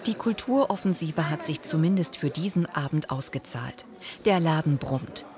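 Low-voiced woman narrating a documentary. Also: animated, normal speed, crowd noise. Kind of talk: scripted voice-over narration. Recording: voice-over with music bed.

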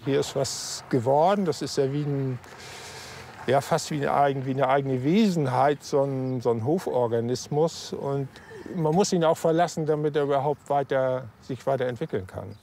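An older man who owns a farm reflects in an interview, pausing to search for the right word in an average-pitched voice. Faint ambient sound sits behind him. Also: darkish tone, a little hiss or hum. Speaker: farm owner.

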